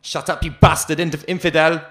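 A man's voice: fairly high pitch, little pitch movement, normal speed, fairly bright tone, pitched above the performer's own voice. A man reading a story aloud, voicing a brutal male prison guard who barks insults and threats. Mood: furious.

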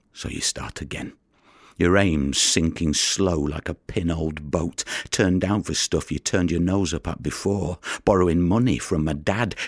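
Weary Italian fisherman, male, very deep voice, dry close sound, brooding, coarse-tongued, audio drama monologue. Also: slightly rough, dry recording, heavily acted. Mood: weary, resigned. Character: weary, bitter male Italian fisherman turned body recoverer.

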